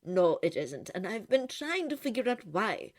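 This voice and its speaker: A woman voicing an awkward, well-meaning middle-aged man in a storybook reading, in a hesitant, gentle manner. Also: medium pitch, big pitch swings, moderate pace. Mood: thoughtful.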